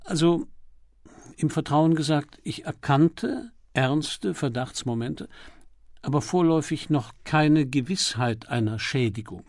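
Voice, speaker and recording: A man's voice: fairly high pitch, animated, darkish tone, no echo, clean studio sound. A man voicing a discreet, dignified society physician in an audiobook, formal and guarded. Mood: confidential, guarded. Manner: formal, measured, confidential. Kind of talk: audiobook character voice.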